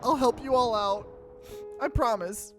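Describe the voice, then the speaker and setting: A man's voice: very high voice, a little slow, music in the background, pitched above the performer's own voice. A man voicing a timid, frightened little guy in a horror visual novel, with a hesitant stammer. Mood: resigned.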